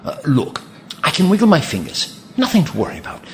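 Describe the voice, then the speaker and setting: A man playing a caring, curious alien man in an audio drama, precise and gently reassuring with dry wit. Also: on the high side, wildly swooping pitch, fairly quick. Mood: reassuring, wry.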